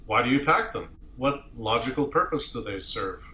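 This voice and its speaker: A man reading the part of a commanding starship captain in a table read, arguing deliberately and rhetorically as a defence advocate. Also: medium pitch, expressive, sharp tone. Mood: measured, probing. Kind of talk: amateur script table read. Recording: roomy echo with clear background noise.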